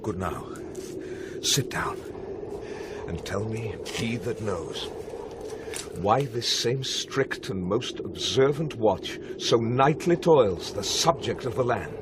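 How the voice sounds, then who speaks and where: Anxious British night-watchman, swooping pitch. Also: lively intonation, slow, hardly any pauses. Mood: grave, questioning. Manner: intense, theatrical, urgent. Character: earnest, anxious male night-watch soldier. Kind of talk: Shakespearean radio drama acting. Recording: noisy 1990s radio drama with ambience.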